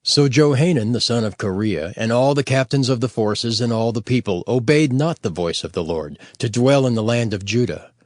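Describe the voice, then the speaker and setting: A man narrating scripture from a script in a measured, formal and expressive manner. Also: medium pitch, no echo, clean studio sound.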